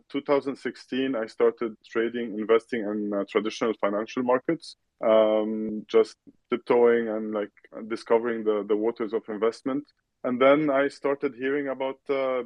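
A man from Lebanon talks through his past as a guest in an online chat. He speaks at a middle pitch in a measured way, often restarting a phrase. His voice sounds dry and close to the mic. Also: no echo, rough recording. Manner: measured, with frequent restarts and fillers. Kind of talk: recorded online audio chat.

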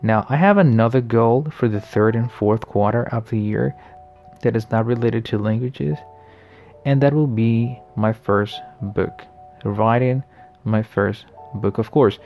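A man in his late twenties hosting a casual solo podcast, talking in a friendly, reflective way, often pausing and restarting his sentences. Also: mid-range voice, vocal fry, darkish tone, soft background music. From the Americas. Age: late 20s. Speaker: podcast host.

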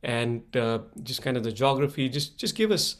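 A man's voice: mid-range voice, animated, moderate pace, a bit bright. A man hosting an interview show, asking friendly, rambling questions. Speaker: podcast host.

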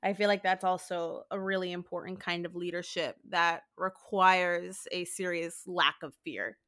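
A woman's voice: on the low side, steady tone, moderate pace, a bit bright. A woman co-hosting a casual conversation, speaking confidently and emphatically.

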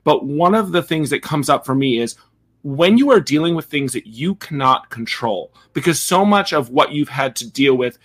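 A man's voice: fairly high pitch, lively intonation, moderate pace. A man calling in to a live show as a listener, politely and appreciatively putting a question. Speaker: listener caller.

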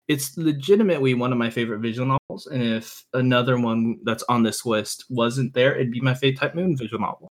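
A man's voice: medium pitch, a little fast, hardly any pauses. A man speaking as a podcast guest, giving emphatic opinions in an informal, conversational way. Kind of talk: casual opinionated chat.

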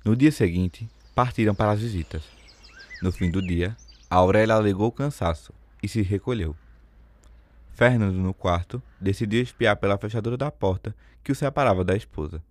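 A man's voice: on the low side, moderate pace, a little mellow. A Brazilian man narrating a radio soap opera in a scripted, dramatic storytelling style.

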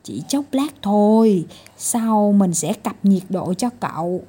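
A patient little storybook doctor, played by a woman, coaxing and gently scolding. The delivery is animated and soft-edged, with a mellow, slightly husky tone. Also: mid-range voice, takes it slowly. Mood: coaxing, reassuring. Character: tiny male doctor, patient, coaxing, mildly exasperated.

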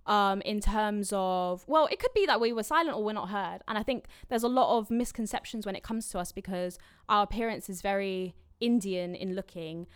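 A woman's voice: mid-range voice, moderate pace, few pauses, clear, resonant tone, no room sound, studio quality. Chatty woman hosting a podcast. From London. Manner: chatty, laughs easily, trails off mid-sentence. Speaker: podcast host. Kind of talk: casual podcast chat.

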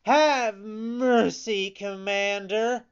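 Narrator performing storybook puppet boy, high-pitched, earnest, pleading, brave, muffled recording. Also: fairly even intonation, drawn-out words, smooth. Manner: imploring, declaiming with feeling.

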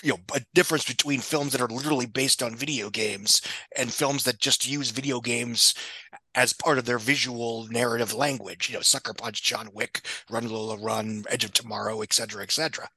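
Bright, forceful man talking movies. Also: mid-range voice, fairly even intonation, fairly quick, husky, no room sound, rough recording. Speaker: podcast guest, film commentator. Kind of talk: casual film commentary.

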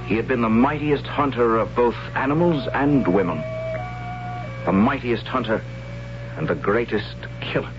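A man's voice: medium pitch, moderate pace, background noise, close to an everyday voice. An actor playing a timid young tribesman who narrates his story in a wry, hesitant way, in a 1970s radio drama. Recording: dull old radio broadcast with music.

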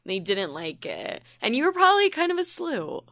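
A woman's voice: high-pitched, huge pitch swings, normal speed, creaky, fairly bright tone, no room sound, studio quality. A young American woman hosting a podcast in a casual, candid chat, teasing and swearing freely. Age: young adult. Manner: blunt, profane, teasing. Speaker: podcast host. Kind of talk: casual candid chat, interviewing her mother.

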